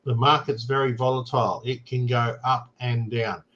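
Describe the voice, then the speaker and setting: A man's voice: medium pitch, fairly even intonation, normal speed, fairly bright tone, dull audio. An Australian man hosting a casual talk show, explaining things plainly and often checking in with "right?". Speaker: show host. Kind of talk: casual chat.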